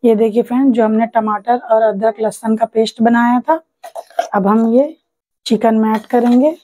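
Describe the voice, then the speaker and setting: A woman's voice: on the high side, steady tone, normal speed, dark tone. A woman hosting a cooking channel, patiently walking viewers through a recipe step by step and often calling them friends. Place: home kitchen.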